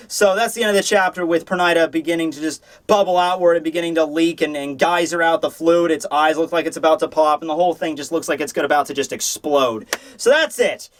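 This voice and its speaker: Guy with a pretty high voice doing a casual manga review, rambly, opinionated, animated, swears a bit. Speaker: host of a manga review show.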